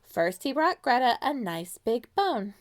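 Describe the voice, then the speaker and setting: A woman reads a children's story in a fairly high voice. Her delivery is very animated and expressive, with dramatic pauses and direct questions to her young listeners. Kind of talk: animated picture-book read-aloud for young children.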